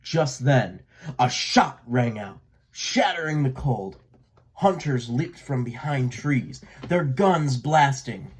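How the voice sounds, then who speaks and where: Casting: man for children's read-aloud narration, medium pitch, slow deliberate pacing, expressive delivery. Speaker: storybook reader.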